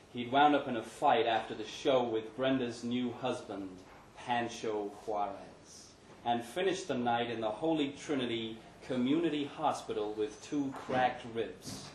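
A man giving a measured, dramatic live reading of a literary short story. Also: medium pitch. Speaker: story reader. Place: pub event room, live reading. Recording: echoey pub room, noticeable background noise.

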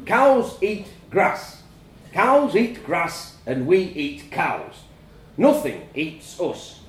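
A man voicing a gruff, blunt farmer father in a told story, booming and emphatic. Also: wildly swooping pitch, slow pace, echoey room, a little hiss or hum, full character voice. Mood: incredulous, emphatic.

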